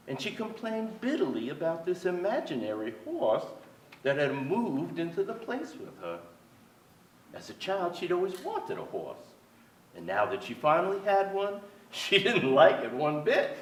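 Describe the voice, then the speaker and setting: A man's voice: mid-range voice, slight background noise. An echoey, distant stage recording of an actor as an aging playwright, wistful and wry. His reflective delivery moves expressively up and down as he reminisces about family with theatrical deliberation. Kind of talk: staged reading of a reminiscing monologue. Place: theater stage before an audience.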